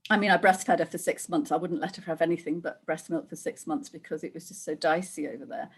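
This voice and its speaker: A woman's voice: sits low, steady tone, fast, breathy edge. A middle-aged woman from Wales, a guest who chats casually, laughs often and acts out the voices she quotes. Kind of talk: casual conversational interview.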